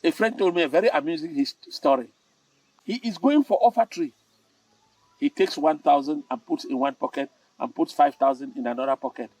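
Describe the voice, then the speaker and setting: An older man with a Cameroonian accent, a storytelling host who delivers tales and moral advice in a theatrical, emphatic way with dramatic pauses and repetition. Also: a little high, a little mellow. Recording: roomy, distant mic with music.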